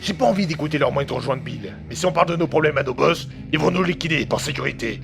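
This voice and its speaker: An actor playing a blunt, slangy underworld agent who presses suspiciously for answers in an audio drama. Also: male voice, on the high side, expressive, fast talker, vocal fry, over music. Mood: urgent, insistent.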